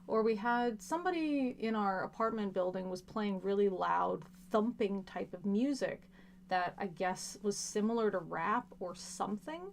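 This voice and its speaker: A woman's voice: mid-range voice, normal speed, vocal fry, slight background noise. Chatty woman telling stories on a livestream. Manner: storytelling with laughs and mimicked dialogue. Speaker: livestream host. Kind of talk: casual chat to viewers.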